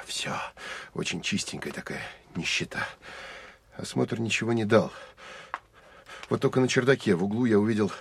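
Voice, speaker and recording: An actor playing a terse, introspective Soviet military officer who narrates an audio drama in measured, clipped phrases. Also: male voice, on the low side, slight background noise, lightly acted. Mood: wry musing. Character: male Soviet military counterintelligence officer, terse, weary, self-critical. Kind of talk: Russian audio drama first-person narration.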